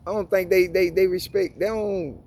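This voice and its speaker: A man's voice: high voice, fairly even intonation, normal speed, darkish tone. A man giving informal Bible reading and commentary in a casual preaching manner, often restarting and repeating words. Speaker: Bible teacher.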